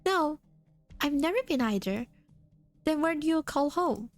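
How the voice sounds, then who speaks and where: A woman's voice: high-pitched, lively intonation, unhurried, voice pushed up. A woman voicing a shy, kind young mercenary girl who hesitates and stammers, with faint music behind. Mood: unsure.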